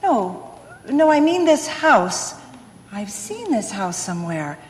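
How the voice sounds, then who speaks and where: A woman's voice: mid-range voice, sing-song, takes it slowly, in a large hall, a little hiss or hum. A woman playing a sensible, caring young mother in a radio play, tender and coaxing in her delivery.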